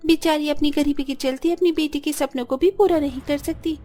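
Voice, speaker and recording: A woman voices a wealthy young mother in a cartoon family tale. She is high-pitched, smug and condescending, doting on her own and mocking the poor, over music. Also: steady tone, brisk, dark tone, close to an everyday voice. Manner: boastful, condescending.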